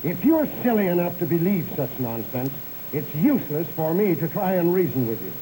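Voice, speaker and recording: Smug scheming rival businessman, dark warm voice, lively pitch, faint hiss. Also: normal speed, low-quality recording. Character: smooth scheming male rival contractor. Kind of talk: 1930s film serial acting. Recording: hissy old VHS film transfer.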